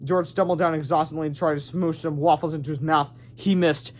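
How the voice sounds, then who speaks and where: A man's voice: a little high, steady tone, a little fast, darkish tone, a little hiss or hum. A man narrating a children's storybook aloud as its reader.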